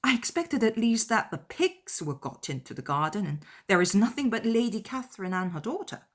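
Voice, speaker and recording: A woman voicing a witty, opinionated young gentlewoman in a dramatized classic novel, with a dry, tart manner. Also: mid-range voice, sing-song, moderate pace, pitched above the performer's own voice. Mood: wry, scornful.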